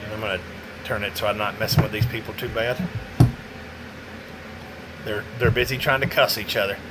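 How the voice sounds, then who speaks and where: A man's voice: on the low side, fairly even intonation, brisk, heavy background noise. A man giving a casual, rambling hands-on product demo, muttering to himself as he goes. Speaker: radio seller demonstrating a CB radio.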